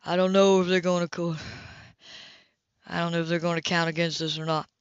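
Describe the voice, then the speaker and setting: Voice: medium pitch, level tone, brisk, smooth, dry recording. An amateur reader reading a novel aloud, stumbling over and rereading some phrases. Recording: dull lo-fi home recording.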